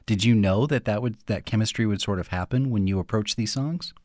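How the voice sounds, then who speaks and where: A man's voice: on the low side, brisk, a little mellow, no room sound, clean studio sound. A man hosting a radio interview, asking curious, conversational questions.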